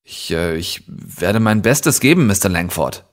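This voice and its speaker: An actor playing an anxious, deferential male employee in an audio drama, speaking haltingly. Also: sits low, lively intonation. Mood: nervous, deferential. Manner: halting, nervous. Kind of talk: German audio drama acting.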